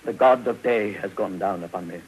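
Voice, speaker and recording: An actor playing a pompous, florid debtor husband, theatrically tragic yet hopeful, in 1950s radio drama. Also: male voice, on the low side, a little fast, lightly acted. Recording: hissy old 1950s radio recording.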